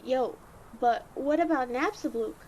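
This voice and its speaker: A woman's voice: high-pitched, a little slow, a little mellow, slight background noise, low-quality recording. A woman voicing an upbeat, casual young monster child in an audio drama, breezy and slangy. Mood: upbeat, encouraging.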